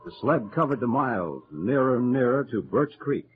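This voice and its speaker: A man's voice: mid-range voice, very animated, moderate pace, slight background noise. A man reading dramatic scripted narration in the style of 1940s radio adventure. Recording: tinny old radio recording, music behind.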